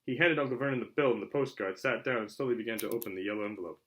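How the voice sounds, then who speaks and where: A man narrating a children's novel aloud in an expressive storytelling style. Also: medium pitch, racing, low-quality recording. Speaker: audiobook reader.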